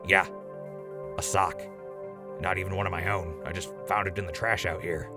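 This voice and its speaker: A man playing a chatty, wisecracking radio host in a post-apocalyptic radio drama, with a gravelly voice. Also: deep voice, steady tone, moderate pace, over loud music, close to an everyday voice. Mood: casual, amused. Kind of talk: radio drama, in-character sponsor reads and banter.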